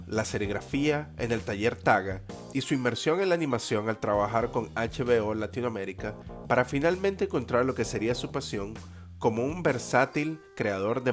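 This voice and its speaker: A man hosting an interview podcast, reading a scripted intro and then asking eager, friendly questions that often restart. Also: mid-range voice. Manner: eager, friendly questioning, repeats words when starting questions. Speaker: podcast host.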